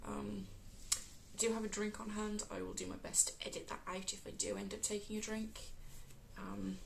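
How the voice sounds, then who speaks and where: A woman with a West Midlands accent, hosting a casual crafting podcast, croaky from a cold and filling pauses with ums. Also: mid-range voice, flat delivery, slight background noise. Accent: West Midlands English. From England. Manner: chatty, many ums, unhurried.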